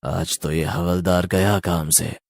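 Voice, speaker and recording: A man performs a menacing male ghost from a horror cartoon. The voice sits well below an everyday register and moves slowly, thirsty for blood. The sound is dry, with no room around it. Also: low voice, fairly even intonation, gravelly, no room sound, voice pushed down, heavily acted. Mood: gloating menace. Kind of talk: Hindi horror cartoon voice acting.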